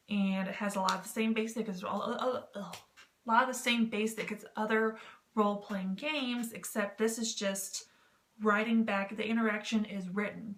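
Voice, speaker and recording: A woman's voice: medium pitch, a bit bright. A woman talking casually as a vlog host, explaining things in a rambling, informal, self-deprecating and jokey way. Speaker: vlog host giving tips on written role-playing. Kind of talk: casual, chatty explainer to camera. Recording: roomy echo with clear background noise.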